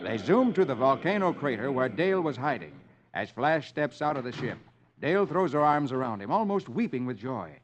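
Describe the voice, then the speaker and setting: An actor playing a jolly comics-reading friend to children, in a theatrical 1950s radio storytelling style. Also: male voice, medium pitch, a little fast, a little hiss or hum, lightly acted. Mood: dramatic storytelling. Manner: jolly, animated. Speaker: radio host and comics reader. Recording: lo-fi old radio, loud music behind.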